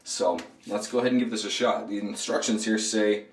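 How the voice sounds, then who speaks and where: A man with a hoarse voice from a throat cold, talking casually and rambling with lots of fillers while reviewing a shave product as host of his shaving videos. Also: on the low side, brisk, echoey room, distant mic. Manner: relaxed, rambling, many fillers.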